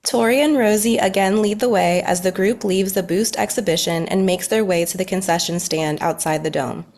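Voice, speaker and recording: A woman's voice: medium pitch, vocal fry, a little mellow. A woman reading scripted narration as the narrator at a table read.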